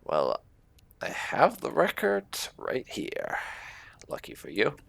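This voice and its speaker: Low voiced guy chatting through a game, slow n creaky, little explainer asides. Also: wildly swooping pitch, fairly bright tone, no room sound, in a whisper. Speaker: gaming YouTuber. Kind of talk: casual game commentary.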